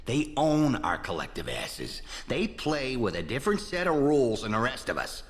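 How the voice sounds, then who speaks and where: A man's voice: medium pitch, wildly swooping pitch, normal speed. A slick, corrupt American politician for a video game. He is pompous and foul-mouthed, swinging widely up and down as he brags and gripes. The voice rings in a long reverb. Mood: exasperated, ranting. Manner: pompous, swaggering, profane. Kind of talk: video game character acting.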